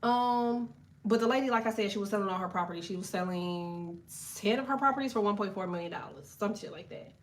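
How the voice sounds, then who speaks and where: A woman's voice: quick pace, rough recording. Chatty, profane woman telling a story. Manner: loose, profane, chatty, lots of "okay?" and "so boom". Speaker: tarot reader. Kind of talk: casual storytelling to viewers.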